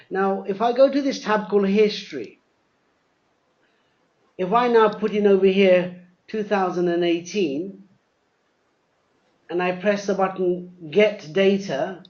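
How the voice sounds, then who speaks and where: A man presenting a live software demo, explaining step by step, often repeating words and spelling things out. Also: sits high. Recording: dull, lo-fi webinar audio.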